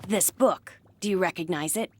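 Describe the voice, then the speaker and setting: A woman's voice: fairly low pitch, normal speed, darkish tone, slightly rough. A woman voicing a composed, methodical young investigator in an anime dub, polite and self-assured.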